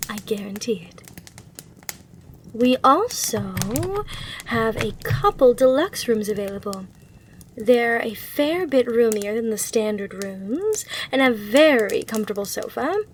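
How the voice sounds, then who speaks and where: A woman's voice: fairly high pitch, animated, measured pace, slight background noise. A woman playing a gracious, eager demon hotel receptionist in an ASMR roleplay, with polite, reassuring customer-service patter. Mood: helpful, attentive.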